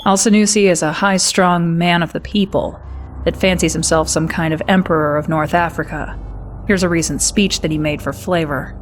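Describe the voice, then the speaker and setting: A woman playing a brisk, wry military intelligence officer, giving a scripted mission briefing in a crisp, confiding manner. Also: fairly low pitch, fairly even intonation, slight background noise, natural voice.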